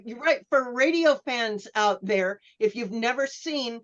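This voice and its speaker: A woman speaking as a panelist in an analytical, scholarly way, with halting phrasing. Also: on the high side, lively intonation, dull audio. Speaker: podcast panelist.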